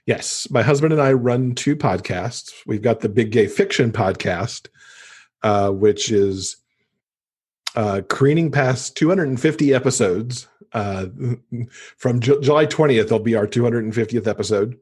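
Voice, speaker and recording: A man's voice: medium pitch, moderate pace, a little mellow, breathy edge. A man talking casually as a guest in an interview, chatty and enthusiastic about books. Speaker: podcast guest; podcast host and author.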